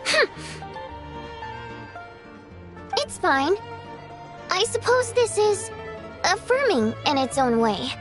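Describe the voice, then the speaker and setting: A woman playing a proud, easily offended theater singer in scripted video game dialogue, with an expressive, theatrical, pointed delivery. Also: very high-pitched, slow pace, music in the background, full character voice. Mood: wounded restraint.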